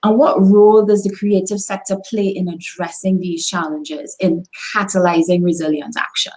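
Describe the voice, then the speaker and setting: A woman's voice: fairly low pitch, fairly even intonation, warm tone, low-quality recording. Woman hosting a live session. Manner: measured, reads an introduction then asks long questions with fillers. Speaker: host. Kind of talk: scripted show introduction then interview.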